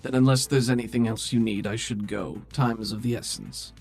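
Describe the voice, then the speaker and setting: Game master as a dry, creaky-voiced businesslike woman. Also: mid-range voice, steady tone, brisk, a little mellow, faint music behind, lightly acted. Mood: cool, brisk. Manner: dry, formal, wry.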